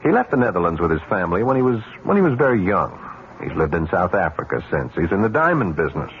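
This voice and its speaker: An actor playing a smooth, secretive businessman with a sinister offer, evasive and deliberate, in an old-time radio drama. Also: deep voice, sing-song. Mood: coy, knowing. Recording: muffled narrow-band vintage radio, faint noise.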